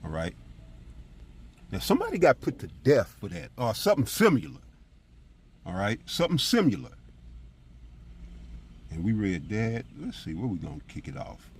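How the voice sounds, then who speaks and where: A man with a husky voice teaching scripture as a preacher, in an emphatic, colloquial style full of rhetorical check-ins. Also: fairly low pitch, wildly swooping pitch, moderate pace, pauses often, slight background noise. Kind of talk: fiery informal Bible teaching with commentary on scripture readings.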